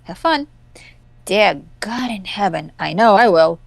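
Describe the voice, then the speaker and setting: A woman's voice: medium pitch, expressive, unhurried, vocal fry, no echo. A woman playing a sardonic young woman hacker in an amateur game reading, wry, flippant and casual. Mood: wry amusement. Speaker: voice actor in a hobby visual novel performance.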